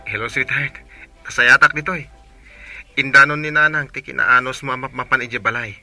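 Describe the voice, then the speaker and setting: A man in love, tender yet very sharp and forceful in tone. It is radio drama acting in a dry studio. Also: mid-range voice, fairly even intonation, normal speed, no room sound, soft background music. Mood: tender, loud.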